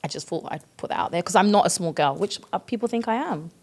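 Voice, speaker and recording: Here is a woman in her thirties with a London accent, speaking casually as a podcast guest. She talks in a chatty, expressive way and laughs easily. Her voice sits fairly low for a woman. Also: fast talker, slightly rough. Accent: London English. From East London, England. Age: 30s. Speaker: guest, worship leader.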